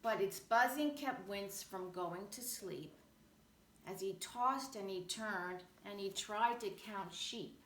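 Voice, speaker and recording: A woman reading a children's picture book aloud in an expressive, rhythmic way. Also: mid-range voice, slow. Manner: animated, rhythmic rhyming delivery. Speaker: storybook reader. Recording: roomy echo, faint background noise.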